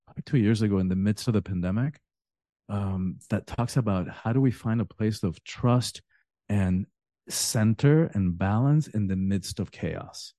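A middle-aged man from Cuba talks as a guest in a relaxed interview. His voice sits low and mellow. The sound is dry and close. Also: normal speed, no room sound. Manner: earnest, repeats words when starting a thought. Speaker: author and podcast guest. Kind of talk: casual interview talk.

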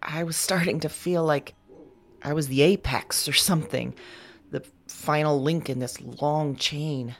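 A woman performing an audio drama monologue as an anxious, confessional figure, halting and hushed, sometimes slipping into whispers. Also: low voice, steady tone. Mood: wistful, uneasy. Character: anxious confessional woman recording her visions of a future woman.

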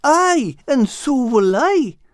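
A man's voice: very high-pitched, sing-song, slow pace, dry recording, studio quality, pitched above the performer's own voice, exaggerated voice. A man voicing a simple, good-hearted male cat in an earnest, folksy manner, in an audiobook. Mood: eager, earnest. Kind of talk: audiobook character acting.

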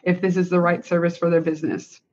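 A woman's voice: on the low side, level tone, fast talker, darkish tone, muffled recording. A woman hosting a business podcast, upbeat and encouraging as she questions her guest. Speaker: podcast host. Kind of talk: interview hosting.

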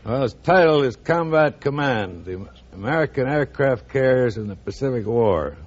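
An older man, a retired officer, answering radio interview questions in a plain, formal, measured way. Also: mid-range voice, animated. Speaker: retired admiral, show guest. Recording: dull old-time radio recording.

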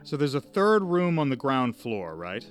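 A man's voice: medium pitch, sing-song, moderate pace, faint music behind. A man chatting casually as a tabletop game player, inquisitive and reasoning aloud.

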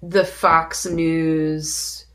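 A woman co-hosting a podcast, chatting casually about film in a blunt, opinionated way with a gravelly creak in her voice. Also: sits low, level tone, drawn-out words, a bit bright. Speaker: podcast co-host. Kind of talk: casual film discussion chat.

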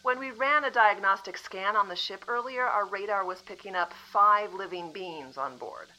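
A woman acting a composed female official from the future in an audio drama, calmly apologetic and matter-of-fact. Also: mid-range voice, normal speed, lightly acted, poor audio.

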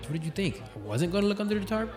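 A man plays an earnest young son in an audio drama. He speaks quickly and eagerly, with clear music playing behind him. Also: high-pitched, animated, a little mellow. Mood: nervous, eager.

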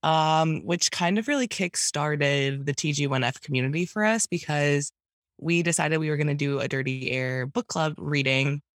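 A young woman co-hosting a casual chat podcast, playful and quick to laugh, sounding sick with a cold. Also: low-pitched, no echo, clean studio sound. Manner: playful, laughing often, announcer-like. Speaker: podcast co-host.

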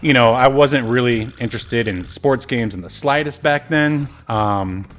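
A man's voice: mid-range voice, measured pace, fairly bright tone. A middle-aged man co-hosting a podcast, talking about old video games in a rambling, informal, amused way. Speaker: podcast host. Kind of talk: casual retro game review chat.

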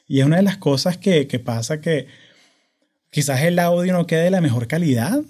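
A man in his thirties with a Venezuelan accent, hosting a casual podcast with energetic, hyperkinetic talk that mixes in English words. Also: fairly high pitch, moderate pace. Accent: Venezuelan Spanish. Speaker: podcast host. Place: home podcast studio. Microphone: studio microphone.

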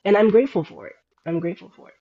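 A woman's voice: medium pitch, very fast, narrow, tinny audio. A young woman who grew up between Sudan and the United States, talking reflectively as a guest and often restarting her sentences. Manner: reflective, searching for words with many restarts. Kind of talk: casual interview talk.

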